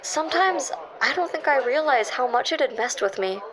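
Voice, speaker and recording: A woman's voice: high-pitched, lively intonation, moderate pace, crowd noise, poor audio. A woman playing a shaken, self-doubting young woman in a naturalistic audio drama. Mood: subdued, troubled.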